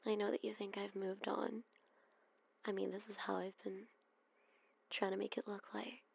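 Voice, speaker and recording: A woman whispers close and soft, her voice husky and full of gravelly fry. She keeps her tone narrow and even, sighing between phrases as in an ASMR roleplay. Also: medium pitch, normal speed. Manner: soft breathy whispering with sighs.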